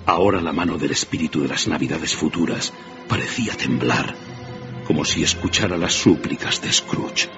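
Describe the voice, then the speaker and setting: A man's voice: a bass voice, normal speed. A man narrating a radio play as a dramatic scripted storyteller. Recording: dull band-limited audio, music underneath.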